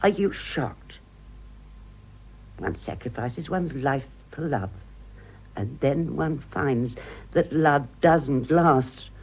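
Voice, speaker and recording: A husky older British woman in a radio drama, vain and self-pitying. Her low voice swoops widely as she confides with theatrical pauses, on a slightly dull recording. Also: expressive, heavily acted. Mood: theatrical, romantic. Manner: dramatic, confiding, halting for effect.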